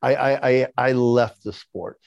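A man's voice: mid-range voice, steady tone, unhurried, gravelly, no room sound, dull audio. A man in his sixties with a lisp, candidly telling his life story as an interview guest, often repeating words as he starts a sentence. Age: 60s. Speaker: podcast guest.

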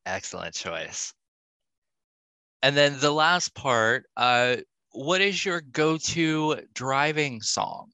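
Bright-voiced man hosting, unhurried. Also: mid-range voice, expressive, smooth, no room sound. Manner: encouraging, brief approving replies. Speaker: podcast host. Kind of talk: casual interview questions.

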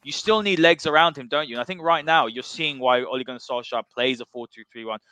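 A man's voice: mid-range voice, quick pace, sharp tone, smooth, no room sound. A man hosting a football podcast, giving blunt, passionate opinions. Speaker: podcast host.

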